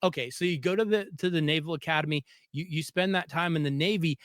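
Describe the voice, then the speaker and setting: Male American interviewer. Fairly high register, dry recording, earnest, emphatic conversational delivery with repeated phrases. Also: fast, a bit bright, dry recording. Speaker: podcast host, former coach.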